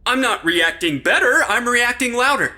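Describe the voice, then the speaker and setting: A man's voice: extremely high pitch, lively intonation, normal speed, sharp tone, exaggerated voice. A man playing a sarcastic, exasperated sidekick in a comedy audio drama. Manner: wisecracking, sarcastic.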